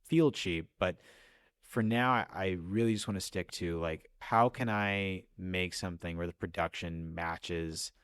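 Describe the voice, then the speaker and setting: American guy w a low voice talking thru his creative stuff in an interview, dry recording. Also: unhurried, fairly bright tone, clean tone, no room sound. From central Illinois, USA. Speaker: audio drama writer and director. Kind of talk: reflective interview talk.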